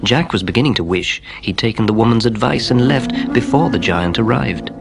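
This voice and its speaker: Expressive low-voiced male storyteller. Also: fairly quick, vocal fry. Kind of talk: expressive scripted children's storybook narration. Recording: music playing underneath.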